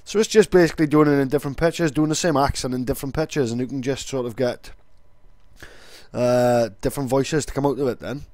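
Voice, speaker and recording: A man's voice: mid-range voice, steady tone, fairly quick, darkish tone, no room sound. A man with a Welsh accent, explaining things casually as an online video creator.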